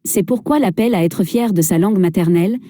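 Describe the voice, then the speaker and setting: Woman, very dark tone, dry close sound, formal speech reading, solemn. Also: medium pitch, a little fast, dry recording. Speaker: reader of a translated speech.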